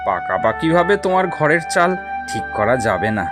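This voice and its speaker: A kindly, anxious village man, as an actor plays him in a cartoon. His plain-spoken voice swings widely in pitch, with clear background music behind it. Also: big pitch swings, normal speed, lightly acted. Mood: worried. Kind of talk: Bengali cartoon dialogue.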